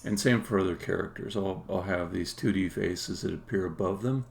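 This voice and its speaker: A man, a game developer, casually explaining his work on a live stream in a halting, think-aloud way. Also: deep voice. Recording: clear background noise.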